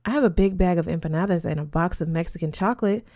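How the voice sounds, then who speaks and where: A woman's voice: fairly low pitch, fast, warm tone, natural voice. A woman voicing a frank, wry teenage schoolgirl in casual dialogue.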